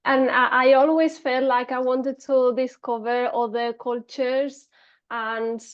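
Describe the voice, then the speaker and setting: Spanish woman guest on a podcast, talks slow and hesitant with lots of ums, kinda muffled audio. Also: high voice, level tone, clean tone. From Spain. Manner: hesitant, many fillers and restarts. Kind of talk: casual interview talk.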